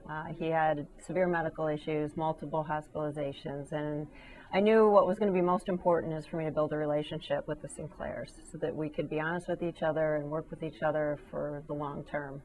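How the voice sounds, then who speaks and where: A middle-aged woman, an advanced practice nurse, speaking calmly and sincerely in a clinic exam room. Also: deep voice, brisk, low-quality recording. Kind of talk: interview testimonial.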